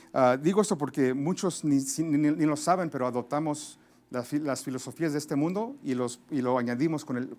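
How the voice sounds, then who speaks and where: Male preacher, slightly high voice, halting bilingual delivery with frequent restarts. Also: darkish tone. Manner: halting, many restarts and fillers, searching for Spanish words. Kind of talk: sermon.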